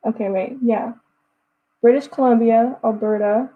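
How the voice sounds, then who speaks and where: A woman's voice: fairly high pitch, fairly even intonation, measured pace, narrow, tinny audio. A woman of twenty-one from Texas, chatting casually with her livestream viewers and answering their messages as they come in. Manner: chatty, reads chat messages aloud and answers them. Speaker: livestream host.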